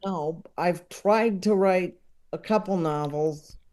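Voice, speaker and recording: A man chatting casually as a podcast guest, talking in a halting, rambling way. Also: high voice, darkish tone. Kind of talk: casual interview chat.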